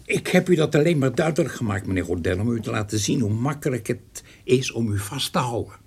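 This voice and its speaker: An actor in a Dutch radio play playing a shrewd, patient narcotics investigator who speaks formally and deliberately. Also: male voice, medium pitch, expressive, a little mellow, husky. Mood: calmly coercive. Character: shrewd, patient male police narcotics investigator, calmly coercive. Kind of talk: Dutch radio drama acting.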